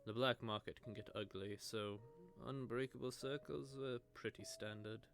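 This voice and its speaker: A man playing a smug, sardonic vampire who taunts a captive in a teasing, drawling, conversational monologue, with a gravelly voice. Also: on the low side, fairly even intonation, normal speed, fairly bright tone. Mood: smug, taunting. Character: smug, sardonic male vampire gloating over a captive hunter. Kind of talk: scripted audio roleplay monologue addressed to the listener. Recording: background music under the voice.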